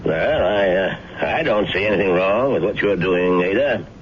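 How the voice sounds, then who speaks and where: Avuncular family doctor, low and lively, folksy delivery, vintage radio play, slightly muffled. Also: male voice, normal speed. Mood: genial, curious. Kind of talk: 1970s radio drama acting. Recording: dull radio broadcast with faint noise.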